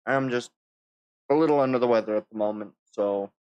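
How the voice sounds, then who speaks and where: An American man chatting casually on a gaming livestream, subdued and halting, a little under the weather with a sore throat. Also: mid-range voice, a little mellow, clear, resonant tone, no echo, lo-fi sound. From United States. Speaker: livestreamer, VTuber. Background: music.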